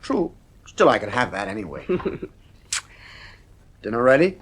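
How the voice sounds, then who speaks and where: An easygoing family man in a vintage radio play. His medium-pitched voice moves in lively swings as he brushes worries aside as a husband and father of the future. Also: slow, breathy edge, exaggerated voice. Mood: light, affectionate. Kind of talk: 1950s radio drama acting. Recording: vintage radio broadcast recording.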